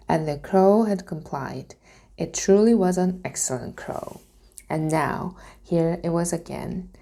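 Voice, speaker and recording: Woman reading a story out loud, careful n slow, gravelly fry in her voice. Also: lowish voice. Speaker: reader. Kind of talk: storybook read-aloud, scripted narration.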